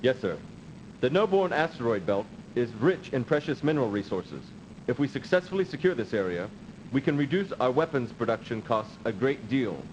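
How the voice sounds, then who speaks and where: Dutiful space officer played by a man, mid-pitched, formal and cautious, video game briefing, lo-fi audio. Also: sing-song, close to an everyday voice. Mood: formal, serious. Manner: formal, measured. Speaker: military adviser in a video game. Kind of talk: 1990s video game cut-scene acting. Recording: dull, noisy old CD game audio.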